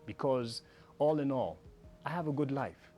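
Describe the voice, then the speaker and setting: A man's voice: medium pitch, lively intonation, a little slow, mellow, soft background music. Reflective Kenyan man, casual storyteller. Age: 30s. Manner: reflective storytelling, playful and joking. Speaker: journalist and guest. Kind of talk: casual chat mixing English and Sheng slang.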